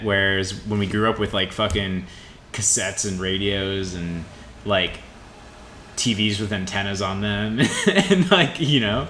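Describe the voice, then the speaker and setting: A man in his mid-twenties in a casual, reflective podcast conversation, rambling and informal as he thinks out loud. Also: lowish voice. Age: mid 20s. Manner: rambling, informal with mild swearing. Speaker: podcast co-host. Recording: roomy echo with faint background noise.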